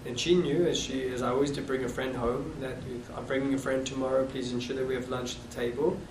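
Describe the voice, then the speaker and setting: A man in his thirties with a South African accent, recalling his past with halting restarts in an oral history interview. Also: medium pitch, level tone. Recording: echoey room, distant mic, noisy.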